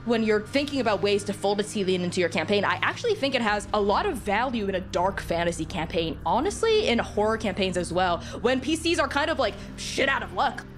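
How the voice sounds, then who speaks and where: A woman talking casually and enthusiastically as a podcast guest and game master, informal and animated. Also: mid-range voice, fairly quick, hardly any pauses, soft background music.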